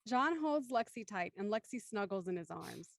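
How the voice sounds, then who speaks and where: A woman's voice: mid-range voice, expressive, moderate pace, gravelly, no echo, clean studio sound. A woman narrating scripted stage directions for a live drama reading.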